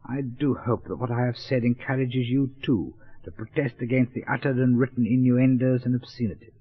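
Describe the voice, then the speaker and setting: A comedian as a pompous upper-class English prude, muffled record. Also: male voice, mid-range voice, fairly even intonation, a little fast, slightly rough, lightly acted. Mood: pompous pleading. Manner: pompous, hesitant mock-earnest oratory. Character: prudish, pompous male moral crusader addressing a public meeting. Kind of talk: 1930s comic monologue. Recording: dull 1930s gramophone record.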